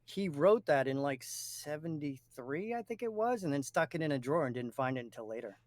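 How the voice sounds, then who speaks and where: A man's voice: fairly high pitch, lively intonation, fairly quick, a little mellow, no echo. A man chatting casually as a podcast co-host, speaking informally. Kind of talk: casual chat. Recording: some background noise and clicks.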